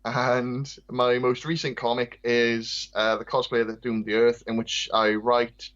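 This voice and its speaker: A man with a Welsh accent, a podcast guest and comic artist, chatting in a soft, light, self-deprecating way. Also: mid-range voice, little pitch movement, measured pace, muffled recording. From Wales. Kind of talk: casual chat.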